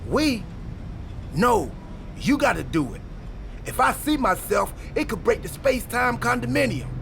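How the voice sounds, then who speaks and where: A man playing an excitable, goofy, scatterbrained pro wrestler in a video game cutscene, earnestly delivering absurd ideas. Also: extremely high pitch, measured pace, gravelly, music in the background, exaggerated voice. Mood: excited.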